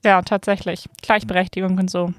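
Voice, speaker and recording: A woman's voice: on the low side, flat delivery, fairly quick, no room sound. Woman cohost, chatty storyteller, dry close studio sound. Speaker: podcast co-host. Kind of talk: casual chat. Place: small recording studio.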